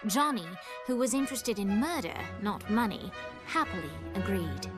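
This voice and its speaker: A woman's voice: mid-range voice, lively intonation, normal speed, close to an everyday voice. Lady as a poised storybook narrator for a game, articulate scripted telling, music under her. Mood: composed, wry. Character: composed female storybook narrator of a tale of hell.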